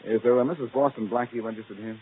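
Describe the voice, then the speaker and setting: An actor playing a wry, confident male detective, wisecracking in brisk 1940s radio style. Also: medium pitch, steady tone, close to an everyday voice. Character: wry, quick-witted amateur detective, confident man. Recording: tinny, noisy old radio recording.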